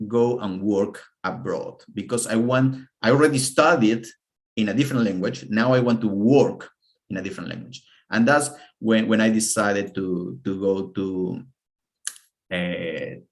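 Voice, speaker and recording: A man in his thirties from Peru, with a Peruvian Spanish accent, giving an earnest online presentation over a video call and often repeating words as he searches for them. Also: lowish voice. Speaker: webinar presenter.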